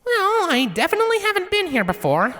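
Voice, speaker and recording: A man's voice: very high voice, huge pitch swings, brisk, bright, forceful tone, big-room sound, pitched above the performer's own voice, heavily acted. A man voicing a young female pony traveler, good-humored and thoughtful, musing expressively in a storybook reading.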